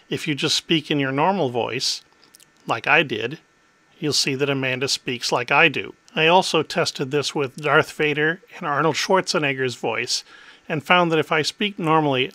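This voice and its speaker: Man, middle-aged, tech demo host, bright, slightly high voice, dry close sound, methodical. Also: dry recording. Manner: clear, methodical explaining. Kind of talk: explanatory tech demo narration.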